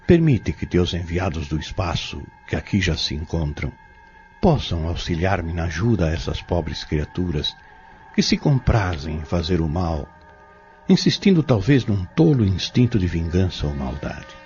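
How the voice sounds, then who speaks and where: Audio drama actor as male pharmacist-healer: Brazilian accent, very low voice, measured and solemn, muffled recording. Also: sing-song, darkish tone, soft background music. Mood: solemn pleading. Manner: formal, measured, preacherly phrasing.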